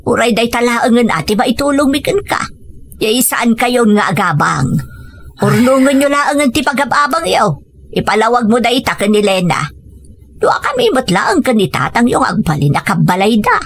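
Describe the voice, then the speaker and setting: A woman's voice: on the high side, big pitch swings, moderate pace, breathy edge, full character voice. A woman playing a supportive older mother of a grown son in an audio drama, reassuring and practical as she advises. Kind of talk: scripted audio drama dialogue. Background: faint music.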